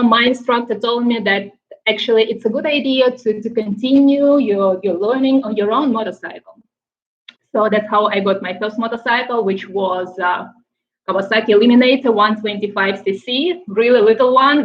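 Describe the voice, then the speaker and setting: A woman from Ukraine with a Ukrainian accent, chatting casually on a live stream, often pausing with fillers and restarting her sentences. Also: a little high, muffled recording. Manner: halting, many fillers and restarts, laughs often. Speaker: motorcycle traveler hosting a live stream.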